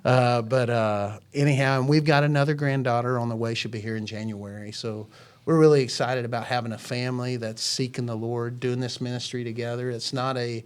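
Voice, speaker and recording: A man in his forties speaks as a guest on a church podcast. He talks earnestly and at length, circling back and restarting his sentences as he tells stories about his life and faith. His voice sits at an ordinary middle pitch. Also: steady tone, a little fast, clean tone. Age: 40s. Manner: earnest, rambling storytelling with many restarts. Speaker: ministry founder, podcast guest. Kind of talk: casual chat.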